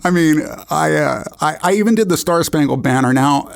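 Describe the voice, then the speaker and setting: An older man hosting a casual interview, joking and gently putting himself down as he talks. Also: on the high side, expressive, moderate pace, vocal fry. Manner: jokey, self-deprecating. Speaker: interview host, musician. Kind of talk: casual chat.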